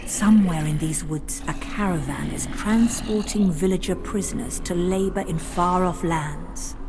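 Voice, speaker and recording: A woman playing a grave storyteller who narrates a fantasy video game with theatrical, ominous and deliberate phrasing. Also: fairly low pitch, background noise. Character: grave, dramatic female storyteller of a fantasy adventure. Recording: loud music behind. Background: dramatic game music.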